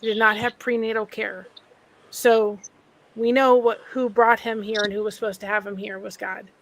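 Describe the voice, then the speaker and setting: A woman's voice: mid-range voice, little pitch movement, normal speed, fairly bright tone, low-quality recording. A woman in a casual group video chat, explaining things earnestly and restarting her sentences.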